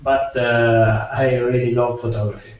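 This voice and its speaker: A man's voice: mid-range voice, little pitch movement, unhurried, slight background noise. Italian guy, far from the mic, super echoey, lots of uhs. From northern Italy. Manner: many hesitations, uh-filled. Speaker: amateur photographer. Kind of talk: casual self-introduction.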